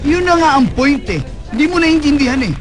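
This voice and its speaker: A very high-pitched Filipino ex-husband in a radio drama. He is boastful and dismissive, arguing and mocking as he talks over others. Music plays clearly behind him. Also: animated, brisk, poor audio. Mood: defensive, scornful. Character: boastful, dismissive ex-husband doting on his girlfriend.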